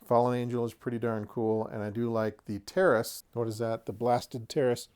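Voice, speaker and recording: A man with a mid-pitched voice hosts a casual product showcase. He talks in a chatty, folksy way, like someone sharing a hobby, and slips in lightly humorous asides as he describes things. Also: fairly even intonation, normal speed, darkish tone, clear, resonant tone. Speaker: host of a tabletop wargaming terrain review.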